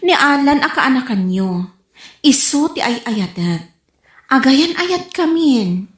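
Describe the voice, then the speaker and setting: A woman acting a high-voiced, frank young sweetheart. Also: very animated, takes it slowly, full character voice. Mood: apologetic. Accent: northern Philippine. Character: frank young woman gently rebuffing an older suitor. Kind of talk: comedy radio drama acting. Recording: roomy, echoing voice recording.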